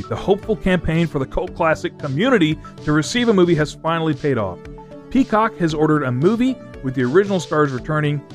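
A man hosting a scripted entertainment news segment, reading as narration. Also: a little high. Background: music.

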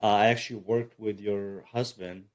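Low-voiced man from Egypt, chatty. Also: moderate pace. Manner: relaxed, chatty, self-deprecating with fillers. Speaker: army chaplain and counselor. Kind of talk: casual interview talk.

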